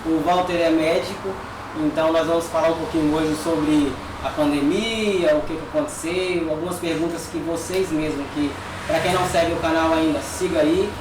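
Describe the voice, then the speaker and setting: A man's voice: a little high, steady tone, normal speed. A man with a Brazilian Portuguese accent hosting a casual podcast, chatty and upbeat. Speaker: host, barber. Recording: echoey room, distant mic, noisy.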